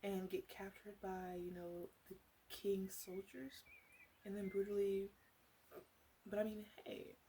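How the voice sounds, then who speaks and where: A woman playing a flirtatious witch who sells potions, rambling wryly and hesitantly in a soft ASMR roleplay addressed to the listener. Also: medium pitch, steady tone, slow, pauses often, a little hiss or hum, low-quality recording. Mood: sympathetic, sly. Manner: hesitant, rambling, wry, with asides.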